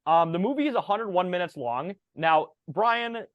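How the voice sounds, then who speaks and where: A man in his thirties co-hosting a casual movie review podcast, relaxed and full of fillers as he reads out film facts. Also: high-pitched, moderate pace, dry recording, dull audio. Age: 30s. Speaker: podcast co-host.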